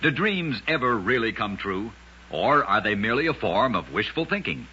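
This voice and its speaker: A man hosting a radio show, narrating scripted curiosities in a measured, dramatic storyteller manner. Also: mid-range voice, very animated, pushed and bright, a little hiss or hum. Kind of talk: scripted old-time radio narration of oddities. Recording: dull, lo-fi old radio recording.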